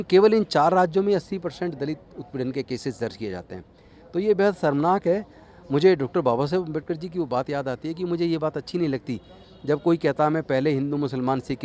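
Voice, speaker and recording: A man's voice: fairly high pitch, dark tone. A man speaking earnestly and emphatically in phrases, giving a political statement to a news reporter. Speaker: politician interviewee. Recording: noisy outdoor urban interview.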